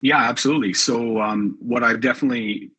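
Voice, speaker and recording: Husky, bright-voiced man from Canada. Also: medium pitch, fairly even intonation, fairly quick, low-quality recording. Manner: rambling, filler-heavy. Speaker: panelist, realtor. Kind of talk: conversational panel talk.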